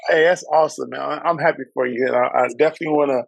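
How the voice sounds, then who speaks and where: A man's voice: medium pitch, fairly even intonation, racing, vocal fry, no room sound, low-quality recording. Emphatic man, dry close sound. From Trinidad. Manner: emphatic, repeats phrases, salesman-like enthusiasm. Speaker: company founder and CEO, guest. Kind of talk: casual interview chat.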